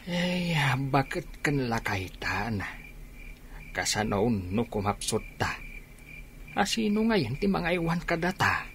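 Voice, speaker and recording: A man's voice: mid-range voice, very animated, slow, a bit bright, slight background noise, full character voice. An actor playing a weary, worried middle-aged rural father in a radio drama, sighing and plaintive.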